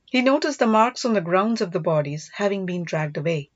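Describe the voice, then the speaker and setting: Animated woman narrator, dull dry recording. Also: medium pitch, brisk, dry recording. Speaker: audiobook narrator. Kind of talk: scripted storybook narration.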